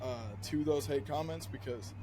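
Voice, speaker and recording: A man's voice: fairly high pitch, fairly even intonation, moderate pace. A man hosting a podcast, laid-back and casual, with lots of fillers and casual swearing. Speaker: podcast host. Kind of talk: casual interview chat. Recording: noisy background.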